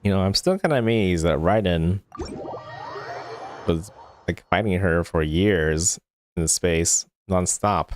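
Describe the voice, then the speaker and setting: A man's voice: low voice, measured pace, long pauses, a little hiss or hum. A man livestreaming a video game, giving casual, rambling commentary as he thinks aloud. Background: game music.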